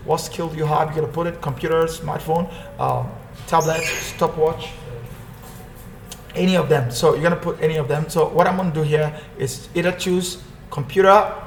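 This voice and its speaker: A man with a fairly high voice walks through a screen recording in a loose, rambling way, often restarting and repeating himself. His voice rings in an echoey room, with a faint hum underneath. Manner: rambling, self-correcting, repeats phrases. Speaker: online earning tutorial host. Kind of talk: casual screen-share walkthrough.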